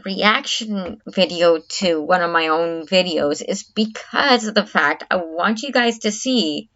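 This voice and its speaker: A woman's voice: on the low side, unhurried, fairly bright tone. A woman vlogger talking candidly to camera, earnest and reflective, often stopping and restarting her sentences. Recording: dull lo-fi recording.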